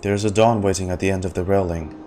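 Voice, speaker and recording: A man's voice: low-pitched, fairly even intonation, quick pace, dark tone. A man streaming a game reaction, reading dialogue aloud and giving his commentary over game music.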